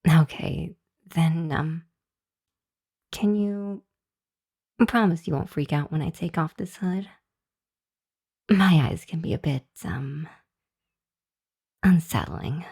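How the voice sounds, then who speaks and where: Slow, low-voiced, sheepish hellhound girl, acted by a woman. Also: creaky, darkish tone, husky, full character voice. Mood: cautious, hesitant. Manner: hesitant, sheepish, stammering. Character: nervous, apologetic young hellhound girl seeking work as familiar. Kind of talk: ASMR roleplay addressed to the listener.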